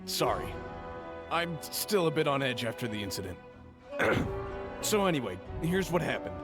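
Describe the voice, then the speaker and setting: An actor playing a nervous, shaken merchant in a video game, recounting events in a rambling way. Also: male voice, medium pitch, sing-song, normal speed, over music. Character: jittery middle-aged merchant man, nervous.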